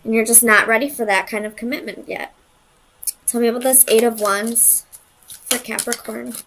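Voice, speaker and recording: A woman's voice: fairly high pitch, fairly even intonation, moderate pace, a bit bright, a little hiss or hum, poor audio. Woman thinking aloud, casual and hesitant. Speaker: tarot reader. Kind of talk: casual conversational tarot reading.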